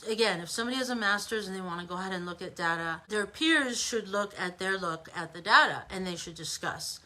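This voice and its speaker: A woman's voice: on the low side, sharp tone, poor audio. An American woman giving an informal opinion monologue as an online commentator, emphatic and conversational, with rhetorical asides.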